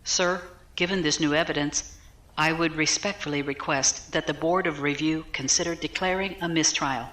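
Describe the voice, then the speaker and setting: Female military defence counsel, very low for a woman, forceful, formal, guarded, sci-fi audio drama, roomy echo. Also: fairly even intonation, moderate pace, slight background noise. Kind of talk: science fiction audio drama acting.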